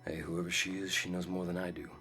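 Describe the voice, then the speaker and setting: An actor playing a brooding, vengeful antihero in a video game, terse, cold and grim. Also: male voice, deep voice, little pitch movement, fast talker, bright, forceful tone, faint music behind. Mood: guarded. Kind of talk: video game cutscene acting and narration.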